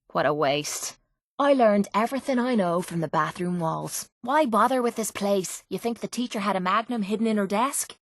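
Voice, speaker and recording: A woman's voice: mid-range voice, no room sound, clean studio sound, lightly acted. An actress playing a tough, sardonic young female brawler in a video game, with an Irish accent and a brash, earthy way of talking. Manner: brash, sarcastic, earthy slang. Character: tough, foul-mouthed, sardonic female brawler with a violent streak. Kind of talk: video game character voice lines.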